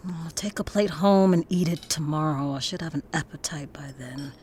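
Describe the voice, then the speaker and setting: A woman's voice: low voice, normal speed, darkish tone, slight background noise, lightly acted. A woman acting a troubled, earnest young daughter with strong principles in an audio drama. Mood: withdrawn.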